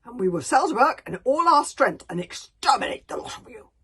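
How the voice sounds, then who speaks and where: A woman's voice: on the high side, sing-song, a little fast, creaky, fairly bright tone, husky, voice pushed up, heavily acted. A woman playing a bellowing warrior queen. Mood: taunting. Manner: taunting, bellowing. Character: fierce loud female warrior chief.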